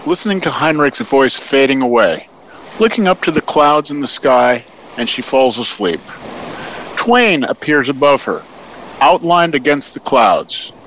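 A man's voice: mid-range voice, lively intonation, a little slow, fairly bright tone, a little hiss or hum, low-quality recording. A man narrating an audio play as its announcer, reading scripted scene descriptions.

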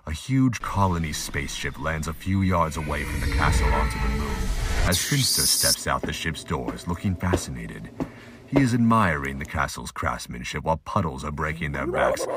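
A man's voice: very deep voice, animated, moderate pace. A man giving scripted audiobook-style narration of a fantasy audio drama. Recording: noisy amateur home recording, clicks.

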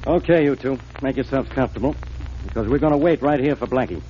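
Muffled old-radio gruff American police inspector, acted by a man. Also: mid-range voice, quick pace, dark tone, natural voice. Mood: bossy, suspicious. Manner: bossy, sarcastic. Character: gruff, suspicious, hard-nosed male police inspector. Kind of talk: 1940s radio acting. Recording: muffled, noisy 1940s radio recording.

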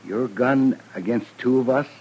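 A man's voice: mid-range voice, normal speed, husky, lo-fi sound, natural voice. A male actor playing an insolent, menacing gunslinger, mock-polite and taunting, in an old radio western. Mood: wary. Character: insolent, menacing drawling gunslinger from a cow town. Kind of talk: old time radio drama acting. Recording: hissy vintage radio recording.

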